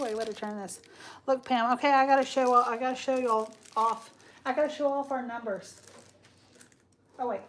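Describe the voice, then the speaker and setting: A woman's voice: fairly high pitch, a little hiss or hum. A woman, chatting casually with viewers on a live stream while she crafts. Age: 40s. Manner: chatty, talks to viewers and reads comments aloud. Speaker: craft livestream host.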